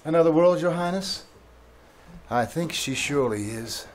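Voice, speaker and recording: An actor playing a formal, respectful lord who serves a prince, in old-time radio drama style. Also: male voice, a little high, animated, normal speed, lightly acted. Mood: uneasy, respectful. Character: deferential male courtier, a lord serving the prince. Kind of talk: old-time radio drama acting, live stage performance.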